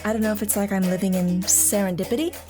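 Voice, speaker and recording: A woman's voice: medium pitch, steady tone, fast, natural voice. A woman playing a dreamy, adoring young newlywed wife in a romantic audio drama, tender and playful. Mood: tender, teasing. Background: music.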